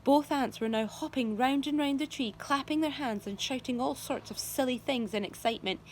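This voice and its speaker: A woman teacher reading a children's novel aloud to her class, expressive storytelling. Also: high voice, normal speed, a little hiss or hum. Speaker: primary school teacher.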